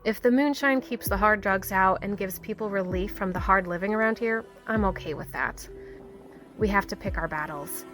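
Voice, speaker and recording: A medium-pitched female voice from an actress as a kind, level-headed small-town officer in an audio drama. She speaks in a gentle, measured, reassuring way. Faint music runs beneath her lines. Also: close to an everyday voice. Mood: frank, pragmatic. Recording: music under the voice.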